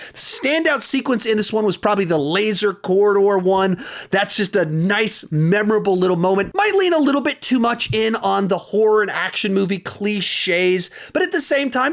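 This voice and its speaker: A man in his thirties hosting a ranked movie review, talking in a lively way with sudden jumps in pitch for emphasis.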